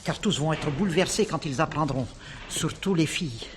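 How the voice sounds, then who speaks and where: An actor playing an anxious, fussy music-hall director, formal, polite and emphatic in a theatrical comedy style. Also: male voice, fairly high pitch, moderate pace, close to an everyday voice. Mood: fussy, worried. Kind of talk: 1970s French stage comedy acting. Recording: noisy old theatre recording.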